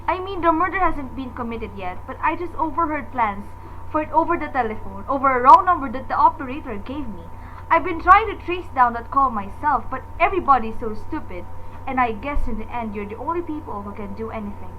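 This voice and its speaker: An actress playing an anxious, demanding invalid wife in a radio drama, fretful, indignant and easily exasperated. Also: female voice, sits high, expressive, brisk, lo-fi sound, a little hiss or hum. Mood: flustered, impatient.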